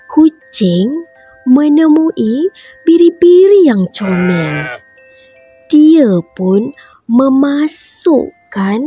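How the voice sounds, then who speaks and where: A woman reading a bedtime story for children, in a slow, gentle, sing-song way with a breathy voice. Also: fairly high pitch.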